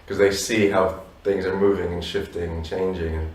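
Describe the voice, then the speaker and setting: A man in his thirties with Polish roots, a musician answering interview questions in a relaxed, reflective way with many "you know"s. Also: sits low, normal speed. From abroad, Polish parents. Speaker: musician guest. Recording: roomy echo from a distance.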